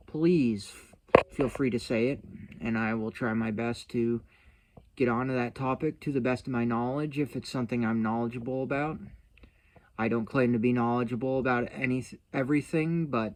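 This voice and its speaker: A man's voice: medium pitch, rough recording. A man chatting casually to his viewers as a channel host, rambling along in a folksy, self-deprecating way. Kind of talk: casual vlog chat to viewers.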